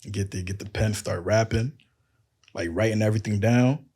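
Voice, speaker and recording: A man with a deep voice and a lisp, telling loose stories on a casual podcast and acting out quoted lines. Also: normal speed, dark tone, slightly rough. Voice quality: says he has a lisp. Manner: loose storytelling, acts out quotes, laughs often. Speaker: podcast cohost.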